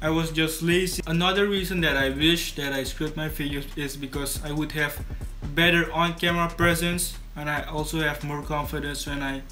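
Teenage male vlogger from Suriname, native Dutch speaker; monotone delivery, casual tutorial read. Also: on the high side, moderate pace, faint music behind. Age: teens. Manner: casual, repeats and restarts words. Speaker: YouTube creator. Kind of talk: scripted tutorial vlog to camera. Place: small bedroom studio indoors.

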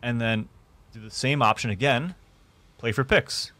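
A young man hosting a gaming tutorial, explaining things clearly step by step from his streaming room. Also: medium pitch, unhurried, bright, forceful tone. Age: young adult. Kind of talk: tutorial narration. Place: small indoor room streaming setup.

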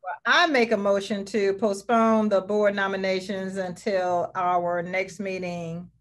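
A woman chairing a board meeting over a video call in a friendly, brisk way. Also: medium pitch, flat delivery.

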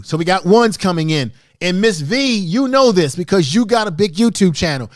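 High-pitched man hosting a livestream. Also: no echo, studio quality. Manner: emphatic, repetitive, instructive. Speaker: livestream host, YouTube creator. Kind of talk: live-stream tutorial talk to chat room. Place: small home studio room indoors. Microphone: large microphone close in front of mouth.

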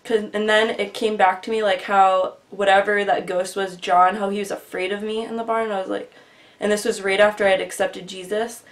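A woman's voice: mid-range voice, little pitch movement, fairly quick. Earnest young woman telling a story. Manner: earnest, rambling, often quieter and trailing. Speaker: vlogger. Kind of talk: casual storytelling. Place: small bedroom with bookshelf, indoors. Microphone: webcam at desk distance.

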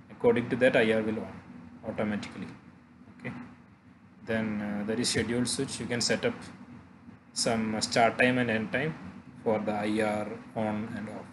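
A man narrating a software tutorial haltingly, pausing often mid-phrase. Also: lowish voice, noisy background. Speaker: tutorial presenter.

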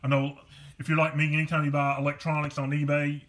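A man giving a casual, unscripted product demonstration in a halting, plainspoken way, recorded at home on a handheld camera. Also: a little high, monotone, fairly bright tone. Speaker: online seller demonstrating a car stereo. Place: carpeted room at home. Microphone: handheld camera behind the lens.

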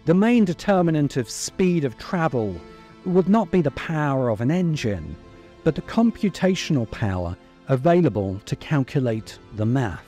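A man's voice: a little high, very animated, normal speed, dark tone, soft background music. A middle-aged man hosting a video essay, delivering scripted narration into a close microphone. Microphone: close microphone on a stand in front of him.

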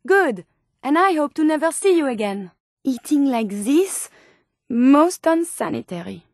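Polite robot lady scientist, French accent, high-pitched, quaintly formal, devoted, dry studio-clean game dialogue. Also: very animated, measured pace, a little mellow, no room sound, full character voice. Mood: displeased. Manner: formal, precise, slightly quaint phrasing. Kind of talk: video game companion dialogue.

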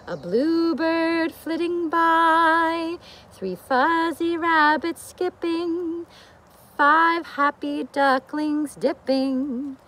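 High-pitched woman teaching young children. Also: extremely slow, fairly bright tone. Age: middle-aged. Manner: asks the young viewers frequent simple questions. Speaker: children's teacher reading and singing a picture book. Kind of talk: gentle instructional talk and singsong storytelling for young children. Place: outdoors in a leafy garden. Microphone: camera or phone a short distance away.

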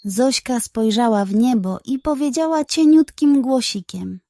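High-voiced, unhurried woman storyteller. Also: mellow, no echo, studio quality. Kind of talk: scripted children's audiobook narration.

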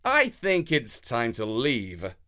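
A man's voice: mid-range voice, wildly swooping pitch, slow, sharp tone, pitched below the performer's own voice, heavily acted. A man voicing a wary animal companion in a children's storybook, speaking apprehensively.

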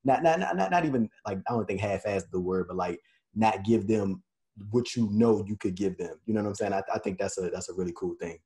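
Young man hosting, low-pitched and rambling. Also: a little fast, dark tone. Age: 20s. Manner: loose, rambling, repeats words, laughs easily. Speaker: interview host. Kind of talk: casual interview over video call. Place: small indoor room. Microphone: webcam on video call.

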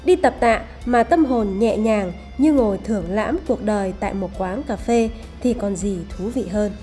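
A woman's voice: medium pitch, moderate pace, mellow. A woman reading a scripted promotional voice-over as a narrator. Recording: voice over background music. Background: music.

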